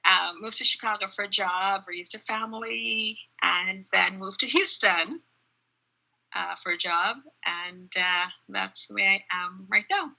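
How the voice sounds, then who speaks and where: A middle-aged woman, answering interview questions thoughtfully over a video call on a handheld phone, often pausing with ums. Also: mid-range voice, gravelly, sharp tone, lo-fi sound. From Trinidad. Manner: thoughtful, searching for words with frequent ums. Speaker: interview guest, runner. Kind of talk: casual reflective interview answers. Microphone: phone held in hand on a video call.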